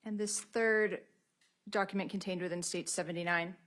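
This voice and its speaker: A woman with an American accent questioning a witness in a courtroom in a formal, measured manner as prosecutor. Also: fairly low pitch, steady tone, fairly bright tone. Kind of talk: courtroom examination.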